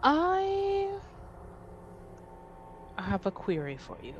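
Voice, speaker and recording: A woman voicing a weary, formal noblewoman in a tabletop game, with a measured, guarded and exasperated manner. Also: high voice, wildly swooping pitch, muffled recording, a little hiss or hum, voice pushed up, full character voice. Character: weary, formal noblewoman acting for her house.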